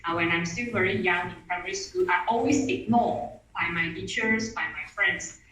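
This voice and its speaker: A woman's voice: lowish voice, normal speed, bright, forceful tone, a little hiss or hum. Eager woman presenting in an echoey room. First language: Mandarin. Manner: eager, repeats words and restarts phrases. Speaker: webinar speaker. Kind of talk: online presentation. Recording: echoey room audio from a distance.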